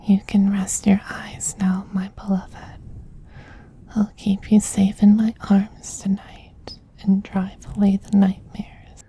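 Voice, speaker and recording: A man voicing a tender, dominant, effeminate vampire queen who soothes a beloved pet in a breathy, soft-spoken ASMR roleplay whisper. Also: high voice, completely flat delivery, heavily acted, poor audio. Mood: tender, soothing. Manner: slow, soothing, doting.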